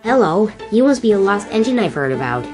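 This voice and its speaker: A woman's voice: medium pitch, very animated, fairly quick, lightly acted. A woman voicing an eager, friendly young male small engine in a comic dub. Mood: excited. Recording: very loud music over voice.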